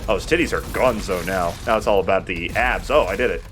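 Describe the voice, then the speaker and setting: A man's voice: low-pitched, fast, over music. A man giving casual, joking gameplay commentary as host and player, with some swearing. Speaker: let's play host and player. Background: video game sounds.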